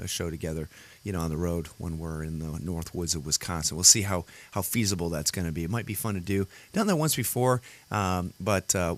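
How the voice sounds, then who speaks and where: A man with a very deep, gravelly voice, worn rough today, hosts his show in loose solo chat. He rambles along with frequent fillers and restarts, speaking into a computer audio setup. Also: fairly bright tone. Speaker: podcast host.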